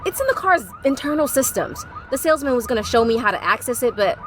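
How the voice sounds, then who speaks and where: A woman's voice: sits high, sing-song, fast talker, noisy background. A woman playing a brash, foul-mouthed, bossy CEO in a comic audio play, with a sassy, emphatic delivery. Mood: flustered.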